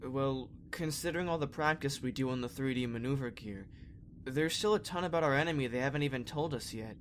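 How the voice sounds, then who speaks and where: A man's voice: mid-range voice, a little fast, slight background noise, natural voice. A man playing an earnest, curious young space trainee in a sci-fi fan parody, talking in a casual, hesitant way. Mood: matter-of-fact.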